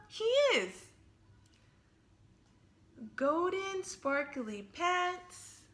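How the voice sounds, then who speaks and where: A woman reading a picture book aloud as an imaginative little girl who thinks she's a fairy, with lively, playful emphasis. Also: high-pitched, heavily acted.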